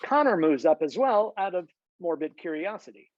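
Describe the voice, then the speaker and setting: A woman's voice: on the low side, sing-song, moderate pace, a little mellow, no echo. A woman reading stage directions as scripted narration at a table read, on slightly dull video-call audio.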